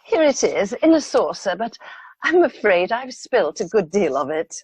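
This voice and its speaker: A woman playing a flustered, polite upper-class hostess in a radio play, hesitant and husky. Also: mid-range voice, very animated, moderate pace, no echo. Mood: nervously polite. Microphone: video call.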